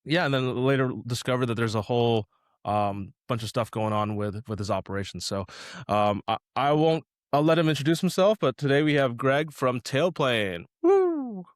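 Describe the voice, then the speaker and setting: Male podcast host, average pitch, informal and conversational, close dry recording. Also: moderate pace, no room sound. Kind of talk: casual podcast talk.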